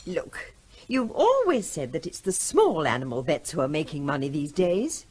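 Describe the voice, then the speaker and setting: An actress playing a brisk, nagging middle-aged wife and mother in a radio drama, bossy, practical and pointed. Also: low voice, big pitch swings, darkish tone, husky, exaggerated voice. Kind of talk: naturalistic radio drama acting.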